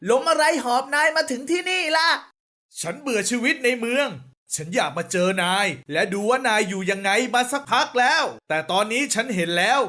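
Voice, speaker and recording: Woman playing a little cartoon mouse, high voice, sharp and bright, fussy, outspoken, exclamatory. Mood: eager. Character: small talking mouse in a children's fable, outspoken and fussy. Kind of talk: children's cartoon dialogue.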